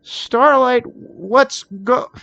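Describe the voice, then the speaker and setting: Small young male dragon, played by a man, pitched up, hot-headed protector, fan story reading. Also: very slow, vocal fry, pushed and bright, muffled recording, full character voice. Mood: sleepy, then shocked.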